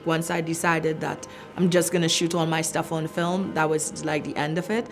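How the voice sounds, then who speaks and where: Middle-aged Trinidad-born woman, low voice, unscripted reflective interview with faint music underneath. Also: flat delivery, normal speed. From Trinidad and Tobago. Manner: thoughtful, many fillers and restarts. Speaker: filmmaker, arts director.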